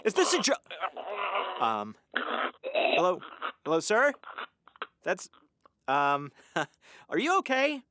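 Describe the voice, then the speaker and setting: A man's voice: high-pitched, wildly swooping pitch, slow pace, long pauses, sharp tone, dry recording, clean studio sound, exaggerated voice. A man playing an earnest, easily exasperated small-town radio host with wordy, showy patter in an audio comedy. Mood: flustered, alarmed. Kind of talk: audio drama comedy acting.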